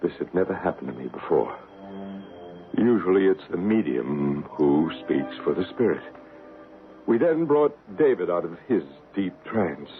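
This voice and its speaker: An actor playing a solemn, deliberate male paranormal investigator who narrates and acts in an old radio mystery drama. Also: low voice, big pitch swings, soft background music, exaggerated voice. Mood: solemn narration. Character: solemn male paranormal investigator and ghost hunter named Bryce. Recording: noisy, phone-like old radio broadcast recording.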